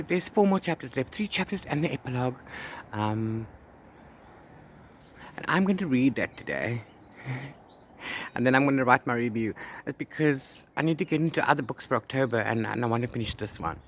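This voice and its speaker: Man, mid-pitched voice, casual book vlog chat, rambling, repetitive emphasis, clear background noise. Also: expressive, normal speed. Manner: rambling, repeats words and phrases for emphasis. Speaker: book vlogger. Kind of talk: casual chat to camera about reading.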